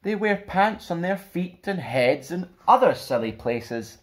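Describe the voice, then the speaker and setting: A young man presenting and reading for children in an expressive storytime style, recorded indoors at about arm's length from the camera. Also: high-pitched, a little slow, a little hiss or hum. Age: young adult. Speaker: storytime host reading a picture book. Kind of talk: expressive children's read-aloud with friendly presenting. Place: small plain indoor room.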